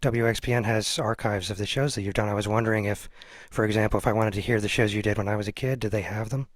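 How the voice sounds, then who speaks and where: A man's voice: fairly low pitch, fairly even intonation, fast, no room sound. A middle-aged man interviewing in a casual, conversational way, sharing his own small stories. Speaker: interviewer, amateur radio enthusiast.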